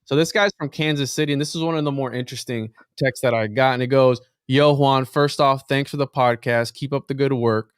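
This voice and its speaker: This is a man hosting a podcast in an informal, conversational way. His voice is clean and resonant, with a mid-range pitch and very little room sound. He speaks straight to his listeners, moving between casual talk and reading aloud. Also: steady tone, brisk, few pauses, smooth, dry recording, studio quality. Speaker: podcast host.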